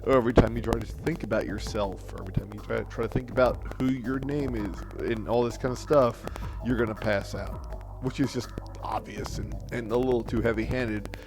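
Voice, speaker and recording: A man's voice: medium pitch, steady tone, brisk, music in the background. A man talking casually and giving opinions in a group discussion about a game.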